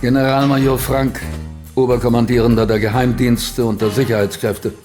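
An actor as a smug, pompous general. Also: male voice, mid-range voice, flat delivery, moderate pace, lightly acted. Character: smug, stiff male general and secret-service chief. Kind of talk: radio play acting. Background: faint music.

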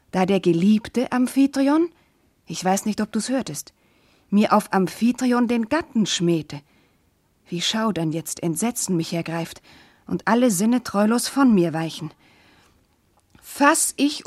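Anguished noble princess, dry close sound. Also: female voice, on the high side, a little mellow, no room sound. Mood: rapt, then horrified. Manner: solemn, fervent declamation. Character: noble young princess, anguished, bewildered, loving wife. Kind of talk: German classical verse radio drama acting.

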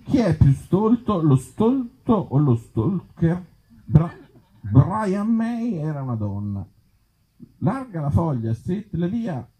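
A man's voice: fairly high pitch, sing-song, slow, dark tone. A man with a Tuscan accent, an author and actor performing and chatting live in a wry, self-mocking way with hesitant asides. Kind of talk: live reading of absurd comic pieces and casual talk with audience. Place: outdoor festival event. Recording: muffled, dull live recording.

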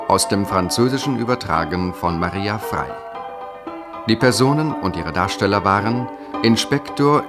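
A man reading formal scripted credits as a radio announcer. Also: lowish voice. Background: guitar music.

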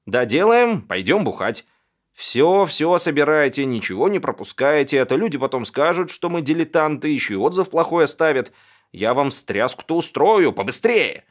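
A man's voice: on the high side, big pitch swings, normal speed, fairly bright tone, pitched above the performer's own voice. A man voicing a brash, coarse foreman who barks mocking orders at his moving crew in an audiobook. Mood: barking.

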